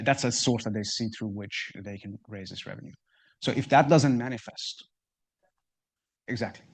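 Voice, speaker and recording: A man presenting at a seminar and answering questions in a hesitant way, often stammering and repeating words as he goes. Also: on the low side. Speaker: research fellow presenting seminar. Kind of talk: seminar talk and audience Q&A.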